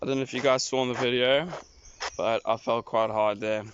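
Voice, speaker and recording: A young man from South Africa, his accent softened by years in England, chatting excitedly and swearing freely while riding an electric skateboard outdoors. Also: mid-range voice, brisk. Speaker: vlogger reviewing an electric skateboard. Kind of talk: casual vlog, talking while riding. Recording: dull lo-fi sound with loud wind noise.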